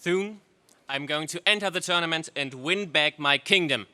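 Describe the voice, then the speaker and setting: An actor playing a gallant, earnest prince in a melodramatic space serial radio play. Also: male voice, a little high, normal speed, bright, forceful tone, clean tone. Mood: resolute. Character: gallant, lovestruck heroic prince.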